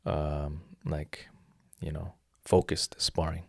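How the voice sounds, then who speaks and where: A man's voice: extremely low pitch, little pitch movement, slow, gravelly, dry recording, studio quality. A man in his thirties, a jiu-jitsu instructor, explaining his philosophy earnestly in a casual interview with frequent restarts. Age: 30s.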